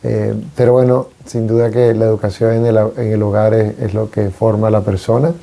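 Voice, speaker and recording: A man in his forties from Venezuela, a priest, talking on a radio show in an unhurried, reflective way with frequent hesitations. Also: lowish voice, little pitch movement, creaky, mellow. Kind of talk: casual conversation on a radio talk show.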